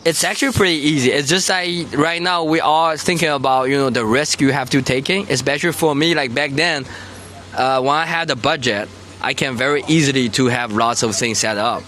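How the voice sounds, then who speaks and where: Man, Chinese accent, bright voice, casual livestream chat, halting second-language English, outdoors on a street. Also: mid-range voice, slight background noise. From Taizhou, Zhejiang, China. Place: outdoors on a busy city street. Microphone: phone.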